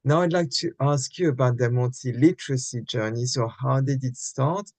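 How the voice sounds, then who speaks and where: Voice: medium pitch, normal speed, darkish tone, dry recording. An interview host asking casual, rambling questions that restart and loop back.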